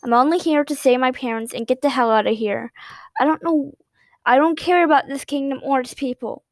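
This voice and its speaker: A woman's voice: high voice, brisk, no room sound. A woman reading a cold, bitter young prince. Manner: cold, dismissive.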